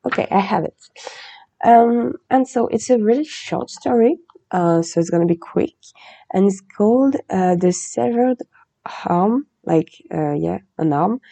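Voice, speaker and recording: A woman hosting a podcast, chatting in a relaxed, meandering way and reading a legend aloud. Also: mid-range voice, unhurried, creaky. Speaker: podcast host.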